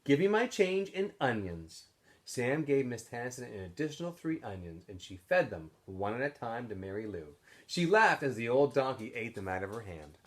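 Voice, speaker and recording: A man reading a story aloud like a teacher to students, in expressive narration. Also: medium pitch, moderate pace, hardly any pauses.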